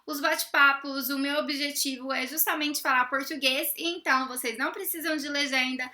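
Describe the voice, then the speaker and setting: Young chatty woman host, high and very bright voice. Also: fairly even intonation, a little fast. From Brazil. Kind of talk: casual chat.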